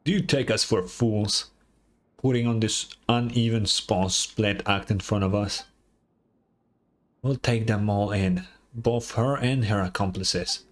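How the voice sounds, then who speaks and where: A man's voice: on the low side, takes it slowly, voice pushed down. A man voicing a stern, scornful soldier in a fantasy game, curt and accusing.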